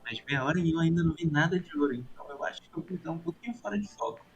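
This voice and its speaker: A man's voice: on the high side, animated, racing, dull audio, soft background music. A man joking casually as a player in a role-playing game.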